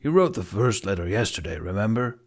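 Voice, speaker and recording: A man's voice: on the low side, expressive, a little fast, vocal fry. A man voicing a crude, cheeky young male Viking in an audiobook, blunt and given to complaint.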